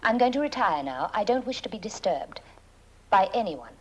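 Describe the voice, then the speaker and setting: An actress playing a scornful, bitter aristocratic widow, middle-aged, with a haughty, deliberate manner. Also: female voice, mid-range voice, big pitch swings, a little hiss or hum, close to an everyday voice, rough recording. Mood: imperious. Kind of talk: theatrical film acting. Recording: old film soundtrack with music behind.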